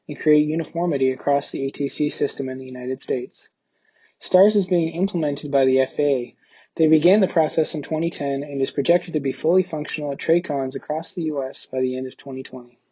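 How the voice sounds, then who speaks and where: Young guy playing a busy air traffic controller, soft husky voice, bit dull audio. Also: fairly high pitch, steady tone, a little fast. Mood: explanatory. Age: young adult. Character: busy, impatient air traffic controller who explains things. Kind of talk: amateur comedy skit, scripted explanation.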